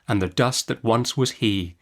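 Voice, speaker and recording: A man reading a classic novel aloud as an audiobook narrator, in an expressive literary storytelling style. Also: medium pitch, measured pace.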